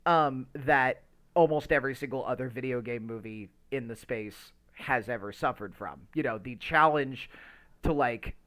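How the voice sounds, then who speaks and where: A man's voice: medium pitch, moderate pace, a bit bright. A man talks casually as a podcast co-host, in a mid-range voice. He rambles through his points, often restarting and doubling up words as he searches for the next phrase. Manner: rambling, stammers over repeated words. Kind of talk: casual movie discussion chat.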